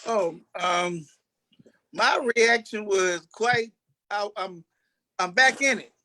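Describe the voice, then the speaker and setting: A man chatting casually as an actor being interviewed, halting and often restarting his phrases. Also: high voice, pushed and bright, low-quality recording. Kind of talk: casual chat.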